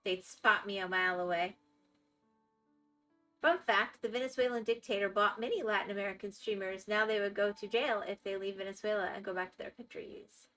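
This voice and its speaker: Woman, Florida accent, chatty streamer, bright clear tone, faint music behind. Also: medium pitch, fairly even intonation, a little fast. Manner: chatty, reacting to viewer chat. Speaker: live streamer. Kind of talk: casual chat while gaming.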